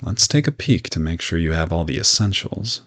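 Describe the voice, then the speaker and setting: A man playing a polite, reassuring modern vampire in a roleplay audio drama, talking gently and matter-of-factly to the listener. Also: low-pitched, very animated, moderate pace, exaggerated voice. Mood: calm, reassuring.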